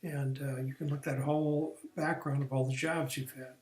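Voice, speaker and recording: A man's voice: mid-range voice, level tone, moderate pace, darkish tone. Man teaching a career course, echoey room. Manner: explanatory, plain, with fillers and restarts. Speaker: career coach. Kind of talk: recorded online training lesson.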